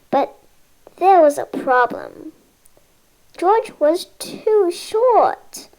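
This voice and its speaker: A female reader reading a children's picture book aloud, halting word by word in short phrases. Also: high voice, darkish tone, low-quality recording. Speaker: storybook reader.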